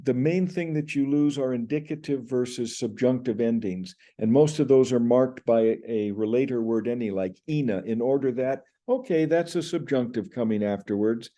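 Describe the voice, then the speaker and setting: A man's voice: mid-range voice, normal speed, few pauses, mellow. An older man teaching Greek pronunciation in an explanatory lecture, speaking to a webcam indoors. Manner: explanatory, gestures with hands, recites Greek sounds.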